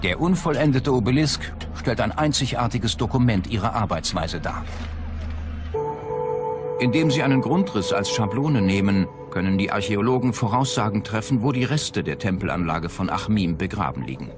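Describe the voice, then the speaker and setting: A man with a fairly low voice delivers scripted documentary narration, with prominent music playing underneath. The reading is that of a narrator presenting a factual film. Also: animated, normal speed. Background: loud background music.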